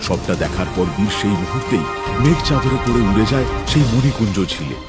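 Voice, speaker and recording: A man's voice: lowish voice, lively intonation, fairly quick, loud music behind the voice. A man narrating a scripted fairy-tale cartoon as its storyteller.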